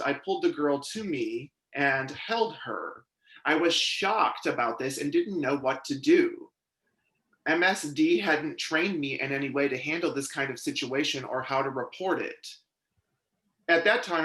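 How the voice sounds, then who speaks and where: A man interpreting a witness's testimony in the first person at a public hearing, with a measured, steady delivery. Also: a little high, reverberant.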